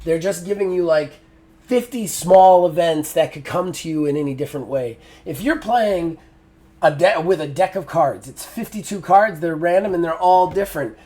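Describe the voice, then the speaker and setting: A man's voice: on the high side, darkish tone. A middle-aged man giving a frank spoken game review, often exasperated, with lots of fillers and restarts.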